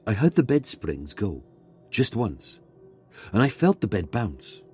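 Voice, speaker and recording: A man reading a scripted ghost story in a measured, dramatic way, pausing for suspense. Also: lowish voice, very animated, darkish tone, husky, faint music behind. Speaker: guest narrator reading a submitted ghost story.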